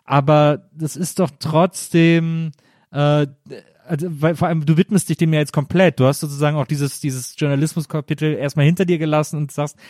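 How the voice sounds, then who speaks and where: A man's voice: a little high, steady tone, fairly quick, a little mellow, no room sound, studio quality. A man from Germany hosting a podcast interview, asking loose, rambling questions in a casual chat. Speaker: podcast host.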